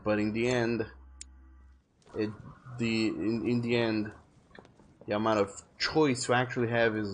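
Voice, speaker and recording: A man's voice: fairly low pitch, a little hiss or hum. A man streaming a video game, chatting and thinking aloud in a rambling way, often restarting his sentences. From France. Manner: rambling, self-correcting, chatty. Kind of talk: casual gameplay commentary.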